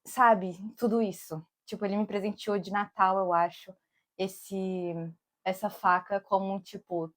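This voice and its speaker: A woman's voice: medium pitch, steady tone, measured pace. A young Brazilian woman from Brasília with that city's accent. She cooks and vlogs, and talks in a rambling, casual way outdoors in summer. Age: young adult. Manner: rambling and digressive, keeps saying "tipo" and "amigos". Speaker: cook and vlogger. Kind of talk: casual chatty vlog. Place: outdoors in summer, with bees around.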